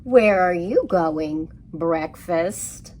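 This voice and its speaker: Woman playing a haughty, booming storybook lion. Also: animated, extremely slow, a little mellow, slight background noise. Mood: demanding. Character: haughty, mocking male lion.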